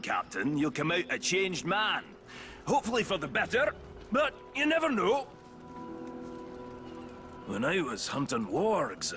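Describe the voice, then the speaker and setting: Boisterous dwarf beast hunter for a fantasy game: hearty, teasing, hugely animated, unhurried delivery over prominent music. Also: male voice, on the high side. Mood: reminiscing, enthused. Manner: hearty, teasing, storytelling swagger. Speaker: video game character. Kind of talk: theatrical game cutscene dialogue.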